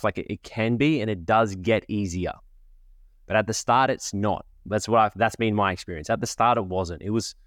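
A very deep-voiced man talks in a loose, rambling monologue as a podcast host. He has a cold and a slight cough, and his voice sits dry and close, with no room echo. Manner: rambling, conversational. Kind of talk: casual monologue.

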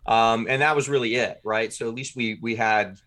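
A man's voice: medium pitch, steady tone, normal speed, fairly bright tone. A man being interviewed as a podcast writer and producer, talking casually and colloquially in short bursts.

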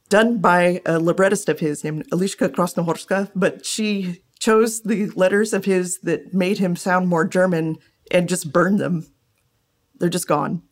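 A woman speaking as an interviewed scholar, explaining things casually and thinking aloud as she goes. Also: fairly low pitch. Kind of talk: interview answers.

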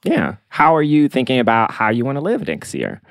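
A man's voice: medium pitch, wildly swooping pitch, fairly quick, dry recording. American man podcaster, close dry sound. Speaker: podcast co-host. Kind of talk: casual conversational podcast chat.

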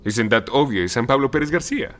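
Man playing bright, smooth-talking revolutionary. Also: mid-range voice, lively intonation, a little fast, faint music behind, close to an everyday voice. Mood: breezy. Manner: smooth-talking, hesitant when challenged. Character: vain, affable, evasive male revolutionary leader. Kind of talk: audio drama acting.

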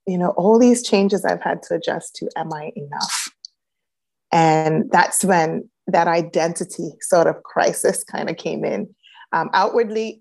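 Interview guest: woman with a faint Jamaican lilt, lower pitch, thoughtful and unhurried. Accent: light Jamaican. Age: adult, a grown child. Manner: reflective, many "um"s, warm laughs. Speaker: guest, reboot coach. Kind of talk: personal storytelling in interview.

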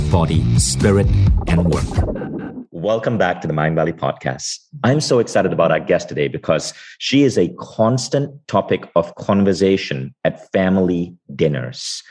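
A male podcast host with a low voice. His tone is dark and mellow, with a slightly husky edge. He talks with an enthusiastic, emphatic delivery. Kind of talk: podcast interview.

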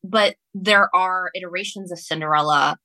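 A woman's voice: fairly low pitch, pushed and bright, no echo, low-quality recording. A woman from Ecuador, a non-native English speaker, chatting as a guest author on a podcast, often doubling back and repeating phrases. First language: Spanish. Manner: halting, self-correcting, repeats phrases. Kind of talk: casual podcast interview, storytelling.

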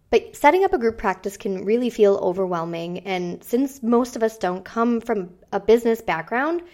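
Woman, podcast host, raspy from a cold, gravelly fry, casual chatty delivery. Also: on the high side, darkish tone. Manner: conversational, with frequent ums. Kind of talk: solo business podcast talk.

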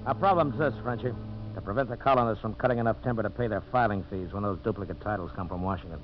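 An actor playing a smooth, calculating businessman villain in a 1950s radio drama, with an insinuating manner. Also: on the low side, fast talker, soft background music, lightly acted. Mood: sly, conspiratorial. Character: scheming, smooth businessman villain plotting sabotage. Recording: muffled, hissy old radio recording with hum.

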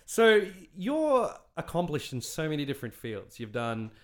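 A man's voice: a little high, huge pitch swings, moderate pace. A man co-hosting a podcast in casual chat, friendly and a little nervous as he introduces and questions a guest. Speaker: podcast co-host.

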